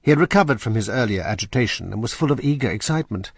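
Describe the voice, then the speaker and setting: A man narrating a radio drama in a measured, even way. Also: mid-range voice, breathy edge, no room sound, clean studio sound.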